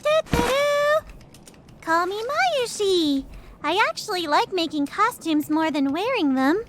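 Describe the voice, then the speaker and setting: A woman's voice: very high-pitched, very animated, measured pace, a bit bright, a little hiss or hum, exaggerated voice. A woman playing a sweet, childlike teenage girl in an anime fan dub, with a cutesy, playful manner. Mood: cheerful.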